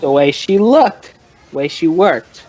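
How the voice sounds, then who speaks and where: An actor playing a folksy, rambling male informant who tells his story in colloquial, drawn-out fashion, in old-time radio crime drama style. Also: fairly high pitch, lively intonation, a little mellow, slightly rough, lo-fi sound, soft background music.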